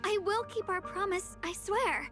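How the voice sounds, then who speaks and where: A woman voicing a gentle, apologetic young girl in an anime video game, speaking softly and haltingly. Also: very high-pitched, over music. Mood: pleading. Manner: hesitant, halting, earnest. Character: gentle, apologetic girl hiding a worsening secret.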